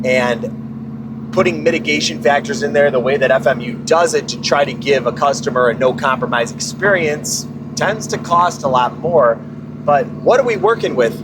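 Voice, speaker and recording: A man giving car vlog commentary from inside a moving truck, as an exhaust shop presenter. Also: mid-range voice. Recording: loud vehicle and engine noise. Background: engine, exhaust and road noise.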